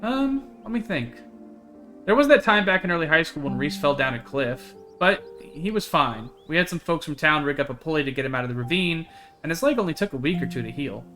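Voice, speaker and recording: A man's voice: fairly high pitch, expressive, a little fast, over music, lightly acted. A man voicing a chatty, carefree young woman in a visual novel, in a breezy, rambling storytelling manner. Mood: breezy recounting. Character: chatty, carefree young woman who hikes hunting cryptids.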